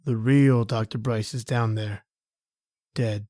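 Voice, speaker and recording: A man's voice: medium pitch, steady tone, slow, dark tone, lightly acted. An actor playing a folksy, sardonic tough guy in an old-time radio thriller, with slangy speech. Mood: grim. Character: John Taylor, folksy sardonic tough guy, thought a killer.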